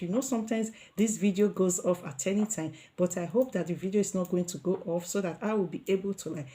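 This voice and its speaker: A woman's voice: lowish voice, mellow. A middle-aged Nigerian woman giving an earnest motivational talk to her viewers, repeating herself with many fillers and restarts. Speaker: vlogger.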